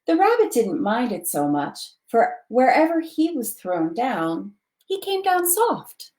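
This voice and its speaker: A woman reads a children's story aloud in an expressive, dramatic storytelling manner. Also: fairly high pitch, takes it slowly. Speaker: volunteer storytime reader, library board member.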